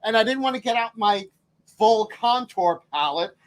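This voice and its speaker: A man's voice: high voice, animated. A man co-hosting a drag podcast, gushing and giggling through a casual chat. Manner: giggly, gushing, quick to agree. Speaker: drag queen podcast co-host.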